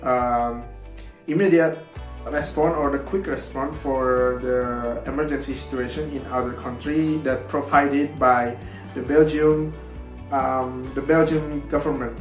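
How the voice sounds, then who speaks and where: A young man whose first language is Indonesian gives a class talk, pausing often on fillers and reaching for his words. He speaks slowly at a medium pitch. The voice sits in an echoey room with faint guitar music under it. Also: steady tone. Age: young adult. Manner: hesitant, many fillers, halting non-native grammar. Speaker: university student presenting. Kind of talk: class presentation.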